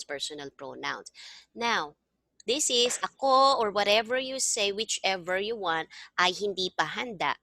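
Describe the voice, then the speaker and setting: A woman's voice: medium pitch, pushed and bright, no echo. A middle-aged woman with a Filipino accent, tutoring a student one-on-one over a video call and patiently mixing English and Tagalog. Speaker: language tutor. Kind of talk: one-on-one online lesson.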